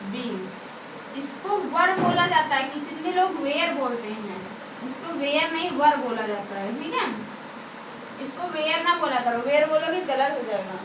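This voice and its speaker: A woman's voice: high voice, expressive, normal speed. A woman explaining grammar step by step as a teacher, often asking questions and answering them herself. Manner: instructive, asks and answers own questions, checks understanding. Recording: echoey room, distant mic, background noise.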